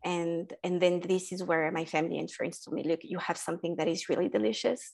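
A woman, talking as a guest in a casual interview, halting with many restarts and fillers and laughing often. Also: on the low side, steady tone, gravelly, darkish tone. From France. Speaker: guest, food entrepreneur.

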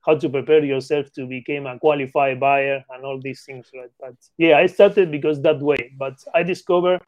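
Argentine man, eager, halting English. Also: medium pitch, fairly even intonation, dry recording, lo-fi sound. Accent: Argentine Spanish. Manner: eager, repeats phrases, halting non-native English. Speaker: guest, M&A expert. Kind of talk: casual interview talk.

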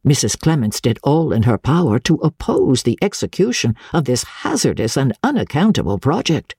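Husky low-voiced woman reading classic fiction. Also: very animated, warm tone, no echo, studio quality. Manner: measured, deliberate, formal storytelling delivery. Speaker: audiobook narrator. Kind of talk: dramatic literary narration.

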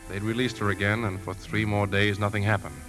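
A man's voice: lowish voice, flat delivery, fairly quick, lightly acted. An actor playing an earnest, determined uncle protecting his nephew, in 1950s radio drama style. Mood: grave narrating. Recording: old 1950s radio, music behind.

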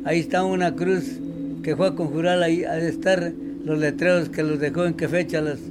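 A man with an Oaxacan Mexican accent, interviewed and recounting local lore in a halting way, repeating words. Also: on the high side, fairly even intonation, heavy background noise. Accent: Oaxacan Mexican Spanish.

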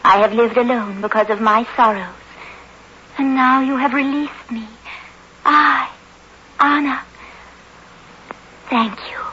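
Mysterious, sorrowful moorland outcast, earnest and gentle, clear-edged bright voice, dull noisy 1960s radio sound. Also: female voice, on the high side, steady tone, slow, long pauses. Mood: sorrowful, hushed. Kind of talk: 1960s radio horror drama acting. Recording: muffled, noisy old radio recording.

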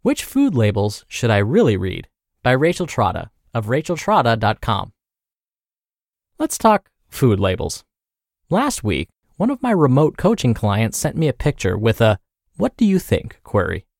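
A man narrating a health podcast, reading a blog post aloud as host with clearly phrased, presenter-like delivery. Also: mid-range voice, huge pitch swings, unhurried, darkish tone, dry recording, studio quality.

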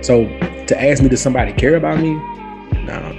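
A man's voice: medium pitch, fairly quick, gravelly, over music. A man speaking as a podcast guest, reasoning aloud in a casual, rambling debate style.

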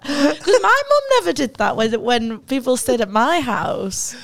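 A woman's voice: fairly high pitch, unhurried, creaky. A woman in casual chat as a podcast co-host, telling stories with lots of fillers. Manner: chatty, animated storytelling with many fillers.

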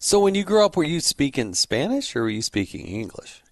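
A middle-aged man interviewing a guest, asking questions in a halting way with many repeated words. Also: a little high, very animated, no room sound, studio quality. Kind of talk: conversational interview.

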